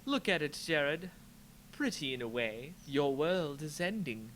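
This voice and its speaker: A coolly cynical necromancer woman, put on by a man for a fantasy story. The voice is lifted high and swings widely, languid and theatrical, with an amused haughtiness. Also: big pitch swings, measured pace, fairly bright tone, a little hiss or hum, rough recording. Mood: haughty amusement.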